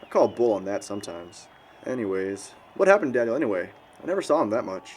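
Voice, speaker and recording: A man's voice: lowish voice, very animated, fast. A young American man acting a blunt, cynical, slangy wrestler in conversational film dialogue. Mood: casual. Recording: noticeable background ambient noise.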